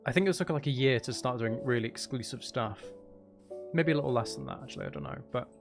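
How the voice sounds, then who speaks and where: A man's voice: mid-range voice, big pitch swings, fast talker, gravelly. Casual man streaming, music behind him. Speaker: streamer, ASMR channel host. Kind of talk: casual livestream chat while gaming.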